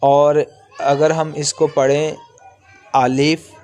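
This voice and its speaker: A man's voice: on the high side, level tone, a little mellow, soft background music. A man giving an instructional language lesson, explaining step by step, repeating himself and sounding out vowels at length. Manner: repetitive, step-by-step explaining with drawn-out sample sounds. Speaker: language teacher.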